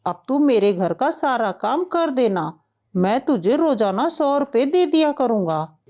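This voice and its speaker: A woman's voice: on the high side, big pitch swings, unhurried, a little mellow, dull audio. A woman voicing an authoritative, self-important male parrot headman in a cartoon. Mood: businesslike.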